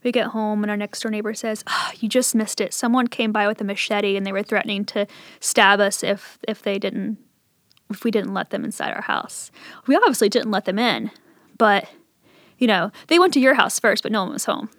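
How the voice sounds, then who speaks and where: Woman, thirties, from Appalachian Ohio, medium pitch, casual storytelling, interview guest, dry room sound. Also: moderate pace, a bit bright, no echo. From southern Ohio Appalachia, then Richmond, Virginia.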